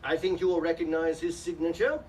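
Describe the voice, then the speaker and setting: A man's voice: fairly high pitch, fairly even intonation, fairly quick, a little mellow, lightly acted. A man acting a sly, pompous villain in hammy radio melodrama, savoring alliteration and taunting all who hear him. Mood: smug gloating. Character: sly gloating melodrama villain, male landlord of a tavern. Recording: distant stage microphone, noisy room.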